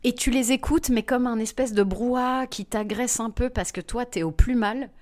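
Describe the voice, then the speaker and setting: A woman comedian, a guest in an interview, telling lively personal anecdotes with frequent fillers. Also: on the high side, normal speed. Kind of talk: anecdotal storytelling in conversation.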